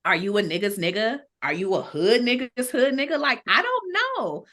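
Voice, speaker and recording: A woman's voice: a little high, big pitch swings, normal speed, fairly bright tone. A woman in casual, opinionated podcast chat, speaking bluntly with slang and swearing. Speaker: podcast guest.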